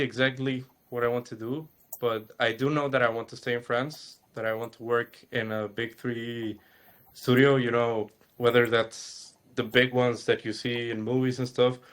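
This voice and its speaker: A young man answering questions in a webinar, thinking aloud with a hesitant, halting delivery. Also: mid-range voice, a bit bright. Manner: thoughtful, hesitant, many fillers and restarts. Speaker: student answering audience questions.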